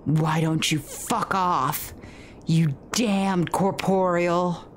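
A woman voicing a hostile, contemptuous spirit in a horror game with a scornful, drawn-out delivery. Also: fairly low pitch, steady tone, a little hiss or hum. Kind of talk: reading horror game dialogue aloud.

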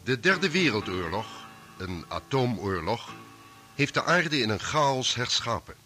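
A man's voice: mid-range voice, sing-song, unhurried, pushed and bright. A man narrating a scripted, dramatic recap for a radio drama serial. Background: music.

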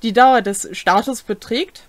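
A woman's voice: fairly high pitch, a little slow, no room sound. A young adult woman reads game rules aloud as a co-host, with brief comments of her own. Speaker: co-host of a tabletop role-playing rules series. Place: small furnished room at home, indoors.

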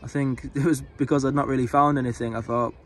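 A man's voice: mid-range voice, steady tone, fast, fairly bright tone, a little hiss or hum. A young man from England, a fossil hunter, narrating a casual vlog on a rocky beach and explaining his finds with enthusiasm. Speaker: fossil hunter, channel host.

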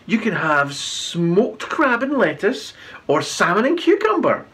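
A gentle little Viking boy from a children's storybook, voiced by a grown man. He is courteous and cheerful, speaking high and unhurried with a lively lilt. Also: breathy edge, full character voice. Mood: polite, cheery. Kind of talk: children's storybook read-aloud.

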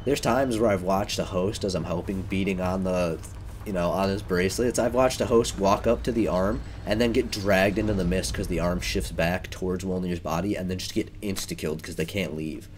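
A man's voice: sits low, moderate pace, a little hiss or hum. A man giving casual, rambling let's play commentary on a video game, with a wry manner. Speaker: let's player.

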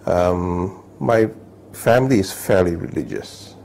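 A man in his fifties from Malaysia, sitting indoors, telling his life story thoughtfully with many pauses and "all right?" checks. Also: sits low, big pitch swings, gravelly, warm tone, slight background noise. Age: 50s. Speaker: interviewee. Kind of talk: personal testimony, unscripted. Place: indoor living room, seated on sofa.

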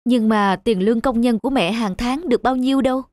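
A woman's voice: a little high, a little fast, a little mellow, no room sound, natural voice. A woman voicing an anxious, devoted teenage schoolboy son in a cartoon, speaking earnestly and pleadingly. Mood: worried, caring. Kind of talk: animated moral-tale dubbing.

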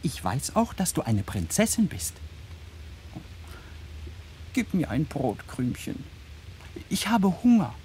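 Tiny kindly fairy-tale king, very lively intonation, slow, confiding, radio play, faint background noise. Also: low voice, exaggerated voice. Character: tiny kindly February day-king, youngest of twenty-eight brothers. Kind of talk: German radio play acting.

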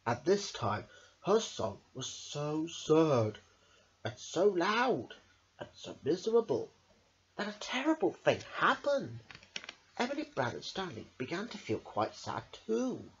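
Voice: medium pitch, sing-song, slow. A storyteller reading a children's picture book aloud dramatically, stumbling over words now and then. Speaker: storybook reader. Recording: dull lo-fi camera audio.

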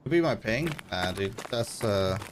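A man's voice: fairly low pitch, lively intonation, a little slow. A young Australian man with an Australian accent, streaming a game and chatting laid-back with his teammate and chat on a headset. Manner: laid-back, talks to chat, says dude and mate. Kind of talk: casual gaming livestream chat. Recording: noisy stream audio.

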